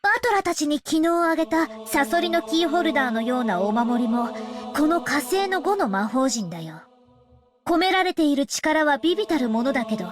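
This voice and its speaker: A woman voicing an eerie young girl obsessed with witchcraft in a visual novel, childlike yet solemn as she lectures on occult lore. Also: sits high. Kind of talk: Japanese visual novel voice acting. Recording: prominent music behind the voice.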